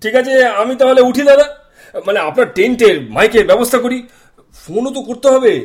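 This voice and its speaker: A man acts out an eager junior helper in a Bengali audio drama. He has a high voice and talks very quickly, always deferential and keen to organise things for his elder. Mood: hesitant. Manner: deferential, hurried. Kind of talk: stage-style radio play acting.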